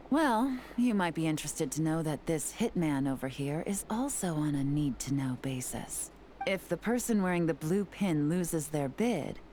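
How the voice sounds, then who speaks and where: A woman's voice: sits low, dark tone, a little hiss or hum. An actress playing a shrewd, composed female investigator in a video game, calculating and dryly teasing. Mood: cool, pressing. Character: cool, shrewd, commanding adult female investigator. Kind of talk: scripted video game voice acting.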